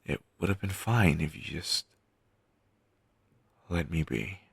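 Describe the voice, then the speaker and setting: A man playing a weary, caring undead best friend in an intimate audio roleplay, talking casually and earnestly with halting phrases. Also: low-pitched, expressive, gravelly, slightly rough, no echo, exaggerated voice. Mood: worried, gentle. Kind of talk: audio roleplay, intimate one-sided dialogue.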